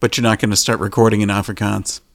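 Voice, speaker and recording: A man interviewing a band, asking questions in a lively manner. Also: on the low side, fast talker, vocal fry, no echo, studio quality.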